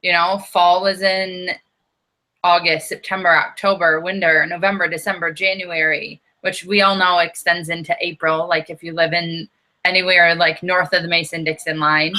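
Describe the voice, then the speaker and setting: A woman's voice: on the low side, little pitch movement, moderate pace, bright, forceful tone. An American woman from the United States, chatty and self-deprecating, saying "like" often. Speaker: co-host. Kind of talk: casual chat.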